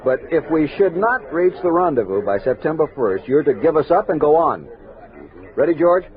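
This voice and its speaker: Commanding frontier army captain, vintage radio. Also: male voice, on the high side, very animated, narrow, tinny audio. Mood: brisk command. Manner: authoritative, formal, measured storytelling. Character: steady, commanding male army captain narrating his frontier journal. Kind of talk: 1960s radio drama acting and narration. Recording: thin, band-limited vintage radio recording.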